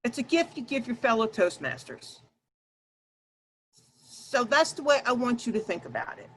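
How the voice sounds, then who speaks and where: A woman with a loud, projecting voice leads an online workshop, addressing her listeners directly. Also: a little high, big pitch swings, moderate pace, slight background noise, poor audio. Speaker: workshop leader and Toastmasters speaker. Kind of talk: workshop presentation to an online group. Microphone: video call.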